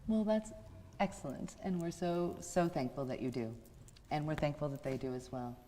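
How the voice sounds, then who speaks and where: A woman's voice: low voice, moderate pace, warm tone. A woman hosting a community variety show, speaking in an effusive way. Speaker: show host. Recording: echoey hall, noisy, clicks.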